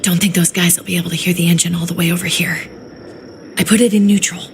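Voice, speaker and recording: A woman acting as a practical mother surviving the apocalypse. Her voice sits low, with a casual, understated delivery suited to a story-driven game, and engine noise is clear behind her. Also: flat delivery, fast, lightly acted. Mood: hushed urgency. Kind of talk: naturalistic video game drama acting.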